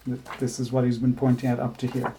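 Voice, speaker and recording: A man teaching a scripture class, explaining ideas in a thoughtful, halting way with frequent restarts. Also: mid-range voice, completely flat delivery, mellow, slight background noise. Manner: chants verses slowly. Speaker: spiritual teacher.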